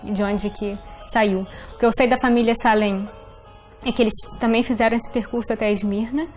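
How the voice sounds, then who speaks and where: High-voiced Brazilian woman over music. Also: fairly even intonation, normal speed, darkish tone. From born in Portugal to Brazilian parents. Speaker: documentary interviewee telling family history. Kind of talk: personal storytelling in interview.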